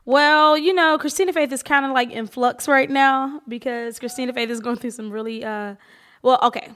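Female podcast co-host, late 30s, high youthful voice, colloquial and animated storyteller. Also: moderate pace. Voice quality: high-pitched, youthful-sounding voice. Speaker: co-host, filmmaker and teacher. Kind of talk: casual podcast conversation.

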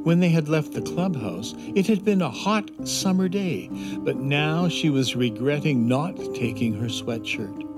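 A man's voice: a little high, lively intonation, unhurried, loud music behind the voice. A man narrating a children's fantasy adventure in a scripted storytelling style.

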